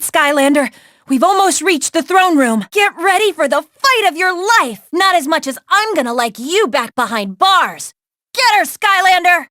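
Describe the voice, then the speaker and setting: A woman's voice: extremely high pitch, bright, forceful tone, no echo, clean studio sound, full character voice. An actress voicing a plucky, encouraging young adventurer woman who guides a hero in a video game. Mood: upbeat, urgent, then determined, encouraging. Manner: encouraging, instructive. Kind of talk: animated video game character dialogue.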